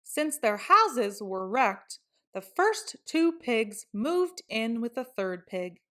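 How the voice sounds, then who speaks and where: High-voiced woman reading a storybook slowly. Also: very animated, sharp tone. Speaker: storybook reader. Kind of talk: expressive children's read-aloud narration.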